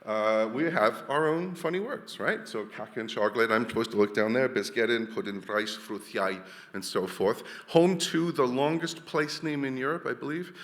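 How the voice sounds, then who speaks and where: A man's voice: mid-range voice, moderate pace, a bit bright, big-room sound. A man from Wales, giving a chatty, jokey conference talk full of restarts. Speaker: conference speaker. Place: large hall.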